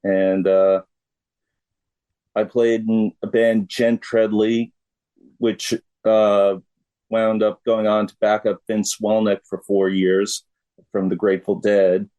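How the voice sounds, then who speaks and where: A middle-aged man from the United States talks as an interview guest. His voice is fairly low, and he speaks very slowly and haltingly, in a casual conversational style. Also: level tone, mellow.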